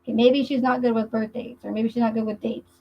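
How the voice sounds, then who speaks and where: Female livestream host, slightly high and raspy, chatty but emphatic commentary, muffled sound. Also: level tone, fast. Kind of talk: casual livestream commentary on a true crime case. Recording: muffled, dull lo-fi livestream audio.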